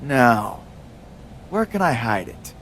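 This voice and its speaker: An actor playing a smug, scheming wrestling villain, sarcastic and self-important, in a video game cutscene. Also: male voice, medium pitch, very animated, slow pace, a bit bright, a little hiss or hum, exaggerated voice, low-quality recording.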